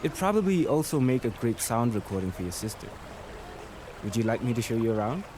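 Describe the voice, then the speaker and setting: A man's voice: medium pitch, a little fast, natural voice. A man playing a friendly, curious local from a river city in a radio drama. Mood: friendly curiosity. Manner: friendly, inquisitive. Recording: noisy watery ambience behind the voice.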